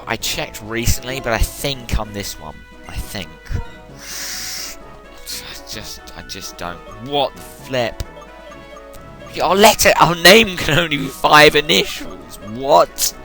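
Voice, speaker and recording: A man's voice: medium pitch, slow. A young man giving rambling, casual commentary while playing a video game. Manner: chatty, rambling, self-interrupting. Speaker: let's play gamer. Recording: game music loud behind the voice. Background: game music.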